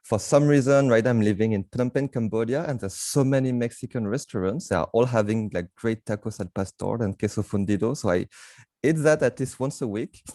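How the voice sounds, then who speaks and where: Relaxed man on a dry video call. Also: mid-range voice, brisk, no echo. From France. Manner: friendly, laughs often, relaxed. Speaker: program co-host. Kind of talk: casual video call chat.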